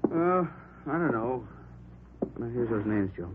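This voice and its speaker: An American actor playing an earnest, worrying police detective, conversational and insistent, in a 1940s radio drama. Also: male voice, medium pitch, lively intonation, takes it slowly. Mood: worried, insistent. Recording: tinny, crackly 1940s radio recording.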